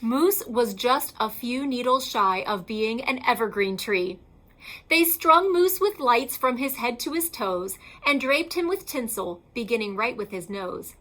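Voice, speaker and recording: A woman reading a children's picture book aloud like a schoolteacher, in a theatrical, emphatic and deliberate way. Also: a little high, bright, forceful tone.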